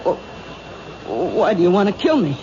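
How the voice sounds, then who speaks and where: An actress playing a nervous middle-aged cleaning woman in an old radio drama, stammering and halting with fear. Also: fairly low pitch, sing-song. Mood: terrified pleading. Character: terrified middle-aged cleaning woman, no-nonsense but jumpy. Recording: muffled, noisy old radio broadcast.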